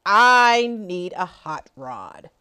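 Woman voicing an excitable, confident little hamster racer, swooping pitch. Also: very slow, smooth, full character voice. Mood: eager. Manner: excited, emphatic, exclaiming. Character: small, eager, confident male hamster racer.

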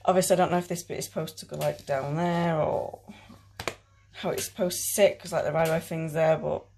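A woman's voice: low voice, steady tone, brisk, gravelly, mellow, slight background noise. A woman in her thirties with a British accent, giving a casual, rambling try-on review with plenty of swearing. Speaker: fitness clothing reviewer. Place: small bedroom at home.

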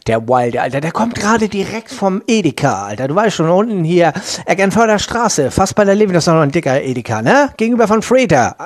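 A man's voice: high voice, very animated, brisk, few pauses, no echo. Man acting a brash, foul-mouthed church bully. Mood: ranting, slangy. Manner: brash, swearing, slangy German mixed with English. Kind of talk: crude improvised comedy audio skit.

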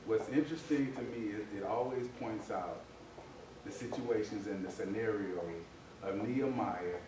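A man's voice: lowish voice, moderate pace. A man giving a reflective comment in a Bible class, often checking that listeners follow with small tag phrases. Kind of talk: reflective group discussion comment. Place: church meeting room, far camera. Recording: distant mic, very echoey, noisy room.

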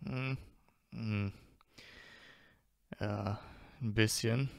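A man's voice: on the low side, fairly even intonation, drawn-out words, creaky, no room sound, studio quality, full character voice. A man acting a teasing, affectionate teenage wizard boy in a fan audio drama, with a playful drawl. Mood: sleepy, tender.

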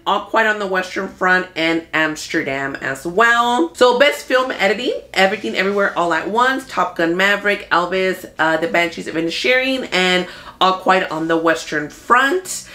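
A woman in her thirties talking casually as a movie vlogger, thinking out loud in an informal, conversational way. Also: medium pitch. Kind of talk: casual chatty commentary. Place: small room at home. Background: background music.

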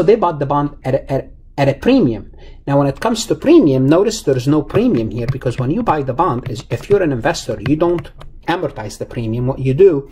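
Husky male accounting lecturer, mellow tone. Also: a little high. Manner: emphatic, repetitive, step-by-step explaining. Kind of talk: explanatory lecture over slides.

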